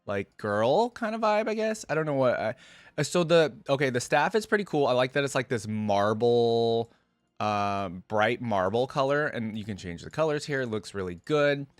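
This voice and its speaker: A man's voice: mid-range voice, animated, normal speed, no room sound. Casual man reacting and commenting, dry sound. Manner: informal, musing, thinking aloud. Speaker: YouTube reaction host.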